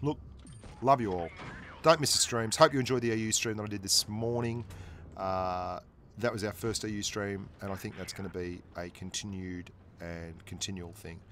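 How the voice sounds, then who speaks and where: A man's voice: fairly low pitch, steady tone, moderate pace, a bit bright, slight background noise. A man with an Australian accent giving chatty, joking commentary over his own tank gameplay as host of a gaming channel. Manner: chatty, joking, self-deprecating.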